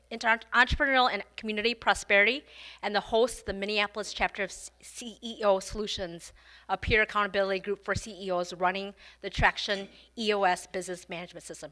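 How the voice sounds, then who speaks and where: A woman's voice: mid-range voice, sharp tone. A woman hosting a business club meeting, giving announcements and introducing the speaker in a friendly way, with many fillers. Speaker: event host.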